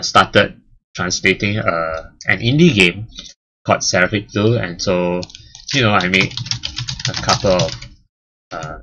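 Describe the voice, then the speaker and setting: A man's voice: low voice, pushed and bright, poor audio. A man casually chatting about his channel's history as its host, rambling with lots of fillers and restarts. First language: English and Chinese. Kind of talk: casual milestone chat.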